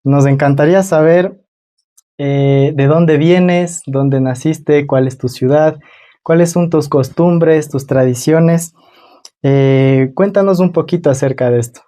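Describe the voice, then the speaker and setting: A man's voice: fairly high pitch, slow, mellow. A man hosting a conversational interview on his own channel, welcoming a guest and asking questions.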